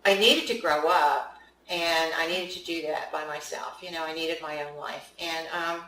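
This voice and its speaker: An older woman with a fairly low voice, chatting easily and laughing through her stories. She sounds a bit far from the mic in a roomy studio, with faint ambience behind her. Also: steady tone, normal speed, sharp tone, slight background noise. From West Virginia. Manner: chatty storyteller, laughs often. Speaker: author, interview guest. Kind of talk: casual interview conversation.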